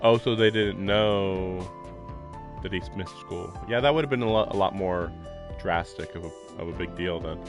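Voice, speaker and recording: A chatty man streams a visual novel, his voice on the low side. He reads lines aloud between casual remarks and frequent laughs, with game music playing behind him. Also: normal speed. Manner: chatty, laughs often, filler-heavy.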